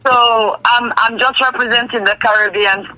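Woman, booking agent, lively radio guest, bright voice, laughs a lot. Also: on the high side, normal speed, rough recording. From Dominica. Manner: lively, laughs often, repeats herself. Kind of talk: radio interview, promoting tickets.

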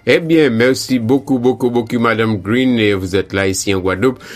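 A man hosting a radio interview, asking calm, prodding questions and often repeating his words. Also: mid-range voice, brisk. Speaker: radio host.

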